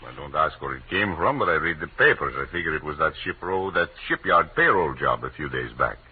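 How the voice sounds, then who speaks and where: An actor playing a shady, defensive gambling racketeer with clipped broken grammar, in an old radio crime drama. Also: male voice, rumbling low, expressive, fairly quick, breathy edge, heavily acted. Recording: muffled, tinny old radio broadcast.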